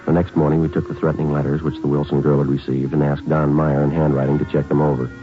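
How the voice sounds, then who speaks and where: A dull vintage radio recording with music carries an American police sergeant. His voice is very deep. He is methodical and understated, delivering facts and questions in clipped, even sentences. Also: fast talker. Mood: matter-of-fact. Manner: clipped, matter-of-fact, understated. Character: calm, methodical male police sergeant and narrator. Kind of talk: 1950s radio police drama acting.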